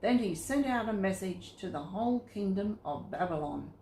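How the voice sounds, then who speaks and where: A woman reading scripted Bible stories to children in a simple, expressive storytelling manner. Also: medium pitch, normal speed, a little hiss or hum. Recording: roomy, echoing sound.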